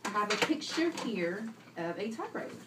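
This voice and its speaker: A woman's voice: mid-range voice, very animated, fairly quick. A woman teacher reading aloud to young children, instructing them and prompting them with questions. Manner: instructive, prompting and repeating pupils' answers. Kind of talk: classroom read-aloud with questions to pupils. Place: indoor classroom, recorded from a distance. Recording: roomy, distant classroom recording with faint noise.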